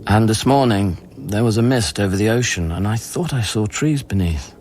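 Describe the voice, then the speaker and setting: A man's voice: fairly low pitch, lively intonation, moderate pace, a little mellow, a little hiss or hum, lightly acted. An actor playing a reflective, troubled scientist narrating in a radio play, pensive and hesitant in his phrasing. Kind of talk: radio drama monologue. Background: music.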